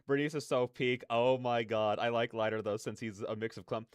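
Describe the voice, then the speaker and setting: A man's voice: mid-range voice, fairly even intonation, normal speed, clear, resonant tone, no echo. Effusive streamer man, dry close mic. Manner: effusive, repeats phrases, slangy. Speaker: reaction streamer. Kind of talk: casual excited reaction commentary.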